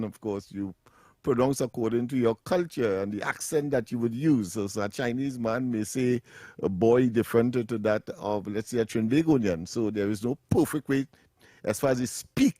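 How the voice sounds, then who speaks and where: A man's voice: mid-range voice, fairly quick, a little mellow, no echo, studio quality. A middle-aged Trinidadian man co-hosting a radio talk show, explaining words with frequent laughs. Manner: laughs often, lectures on words. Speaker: radio co-host. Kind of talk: casual radio chat. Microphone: studio mic.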